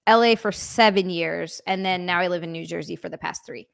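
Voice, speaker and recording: A woman's voice: fairly low pitch, a little fast, gravelly, a bit bright. A woman from Hawaii in casual podcast chat, talking bluntly and swearing freely. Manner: blunt and profane.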